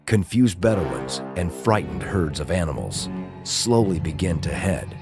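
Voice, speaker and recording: A man's voice: low-pitched, very animated, unhurried, loud music behind the voice. A man giving scripted, dramatic narration as the narrator of an audio drama.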